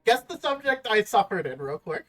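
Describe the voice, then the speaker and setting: A man's voice: sits high, normal speed, soft background music. A young man chatting casually and jokingly while gaming, swearing freely. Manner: animated, jokey, swears freely. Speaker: gaming streamer.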